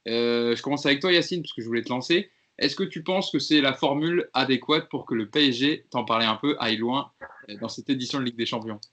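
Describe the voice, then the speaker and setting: A man hosting a casual football fan podcast, steering the talk and reading out the facts in a brisk way. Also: medium pitch, a bit bright. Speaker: podcast host.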